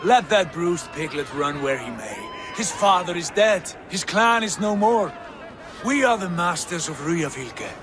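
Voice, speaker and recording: A man's voice: sits high, unhurried, crowd noise. An actor playing a commanding male Viking chieftain in a video game. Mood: scornful. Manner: commanding, boastful, then calm and brotherly. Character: bold male Viking chieftain, proud brother. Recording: game audio with loud ambient noise.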